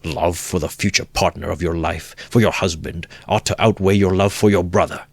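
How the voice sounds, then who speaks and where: A man's voice: sits low, steady tone, normal speed, gravelly, no room sound. A man voicing a pompous, easily offended middle-aged suitor who speaks in a stiff, sententious way. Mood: huffy, pompous. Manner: sententious, aggrieved and stiffly formal. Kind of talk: audiobook character voice.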